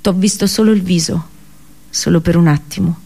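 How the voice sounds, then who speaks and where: An actress playing an anguished, passionate captive princess and seer in an intimate, poetic radio monologue. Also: female voice, lowish voice, steady tone, moderate pace, creaky, mellow. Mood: tender, longing. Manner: intimate, poetic, intense delivery.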